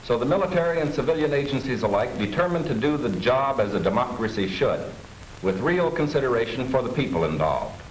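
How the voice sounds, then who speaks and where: An American man reading scripted 1940s wartime documentary narration in a measured, formal manner. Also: medium pitch, expressive, slight background noise, poor audio. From United States. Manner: measured, formal, declarative delivery. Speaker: government film narrator. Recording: 1940s film soundtrack with music underneath. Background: music.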